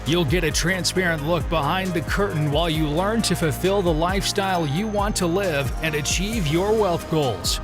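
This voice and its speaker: Male intro announcer, high-pitched, polished promo read with a music bed under it. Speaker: show announcer. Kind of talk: scripted podcast intro. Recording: voice over clear music bed.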